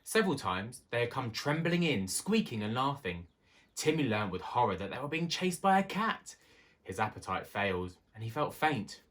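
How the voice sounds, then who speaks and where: A man reading a children's tale aloud as an expressive storybook narrator. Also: mid-range voice, normal speed. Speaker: story reader.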